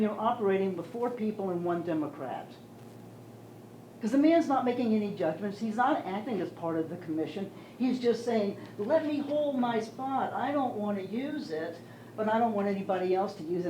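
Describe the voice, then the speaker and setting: A woman giving public comment at a town meeting, loud, emphatic and insistent. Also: mid-range voice. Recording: echoey meeting room, light room noise.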